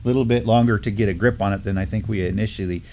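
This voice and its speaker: A man's voice: lowish voice, steady tone, fast, darkish tone. A middle-aged man co-hosting a live news talk show, chatting casually from a desk in a small studio, with many fillers and restarts. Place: studio with mottled backdrop, at a desk.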